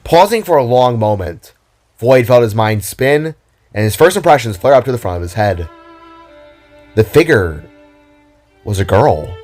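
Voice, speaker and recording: A man's voice: medium pitch, big pitch swings, a little slow, long pauses, darkish tone, faint music behind. A man narrating a scripted audio drama in a dramatic storytelling style.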